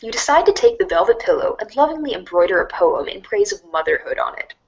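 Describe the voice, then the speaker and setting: A woman reads as a storybook narrator with a strongly varied, lively pitch. Her delivery is scripted, theatrical and wry, at a mid-range pitch. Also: fast, lo-fi sound.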